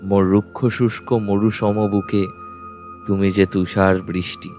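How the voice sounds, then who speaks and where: A male actor plays a wistful lover reading out his letter in an audio story. His low voice stays very even and unhurried, with poetic phrasing over music. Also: natural voice. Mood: tender, wistful. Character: lovelorn man voicing his unsent love letter.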